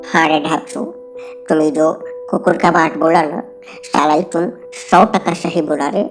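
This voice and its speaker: Woman voicing a low grumbling dwarf, Bihari Hindi. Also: steady tone, unhurried. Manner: grousing, colloquial, comically aggrieved. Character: grumbling, reluctant little bachelor male dwarf. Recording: faint background music.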